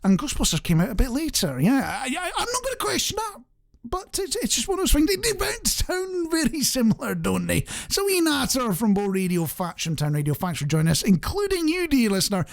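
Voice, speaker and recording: A man's voice: very high voice, wildly swooping pitch, fairly quick, vocal fry. A man with a British accent presenting a radio show in a casual, rambling, conversational and self-deprecating way.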